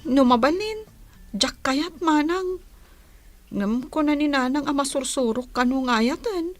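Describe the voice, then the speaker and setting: An actress with a Filipino accent playing a reluctant, petulant young bride-to-be in a radio drama. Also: female voice, high voice, animated, a little slow, no echo, faint music behind. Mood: defensive, resigned. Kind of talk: Ilocano radio drama acting.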